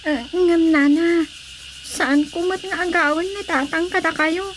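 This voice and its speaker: An actress playing an earnest, polite young daughter in a radio drama, pleading and deferential. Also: female voice, very high-pitched, fairly even intonation, unhurried, a little hiss or hum.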